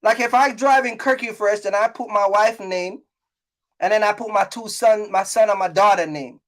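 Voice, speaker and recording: A man's voice: high-pitched, normal speed, fairly bright tone. Middle aged guy doing a casual vlog rant, repeats himself for emphasis, keeps checking you get it. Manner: repetitive, emphatic, checks in with listeners. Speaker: trucking business owner and content creator. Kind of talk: casual vlog monologue to viewers.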